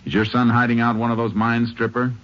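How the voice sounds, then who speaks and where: An actor playing a steady, shrewd Texas Ranger who speaks and narrates in a terse, authoritative way in an old radio drama. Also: male voice, medium pitch, quick pace, lo-fi sound, natural voice. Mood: firm, probing. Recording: noisy, band-limited old radio recording.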